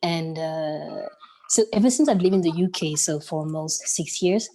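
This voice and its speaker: A woman from the Republic of the Congo, who grew up in France, speaks in a lowish, mellow voice. She poses a polite, measured question on a video call. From Republic of the Congo, raised in France. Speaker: audience member asking a question. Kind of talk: online Q&A question.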